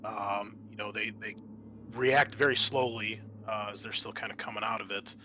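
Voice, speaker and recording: A man narrating an improvised tabletop game as game master, halting often mid-sentence. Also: fairly low pitch, fairly even intonation, pushed and bright, a little hiss or hum.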